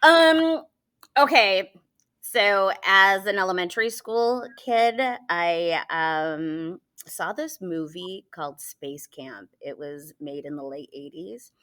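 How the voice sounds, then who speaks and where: A woman in her forties from Michigan talks as an interview guest, her slightly low voice carrying a bright, forward tone. She chats loosely, with plenty of ums and easy laughter. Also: slow pace. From Michigan, USA. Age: 40s. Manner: chatty, laughs often, many fillers. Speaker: guest, teacher. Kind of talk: casual interview chat.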